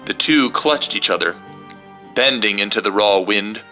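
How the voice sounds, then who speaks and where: A man's voice: lowish voice, unhurried, sharp tone, soft background music. A man hosting and reading a storybook, moving between casual chat and a theatrical, suspenseful delivery. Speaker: host and storyteller.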